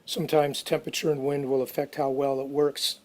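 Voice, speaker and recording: Man with an even, barely varying pitch. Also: fairly quick. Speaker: DIY restoration video narrator. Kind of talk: casual how-to narration.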